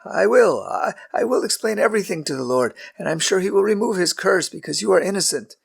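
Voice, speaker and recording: A man's voice: high voice, animated, fairly quick, vocal fry, breathy edge, pitched above the performer's own voice, full character voice. A man voicing a timid old patriarch who stammers and hesitates. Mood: earnest.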